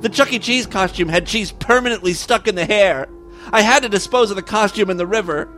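A man's voice: high-pitched, fairly quick, a bit bright, faint music behind, voice pushed up. A man voicing a manic, lecherous husband in a theatrical, grandiose comic story. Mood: confessional, desperate.

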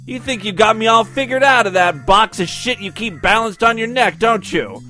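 A man's voice: high voice, moderate pace, sharp tone, soft background music, voice pushed up. A man voicing a brash, scornful, domineering woman who barks tart, impatient commands in a comic story reading. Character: brash, scornful, domineering middle-aged woman, sexually aggressive.

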